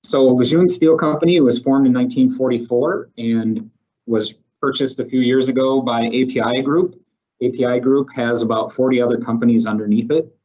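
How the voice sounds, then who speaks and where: A man's voice: medium pitch, steady tone, moderate pace, dark tone. A man speaking as a recruiter, presenting company slides in a plain, matter-of-fact way with some fillers. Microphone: video call. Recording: muffled video call audio.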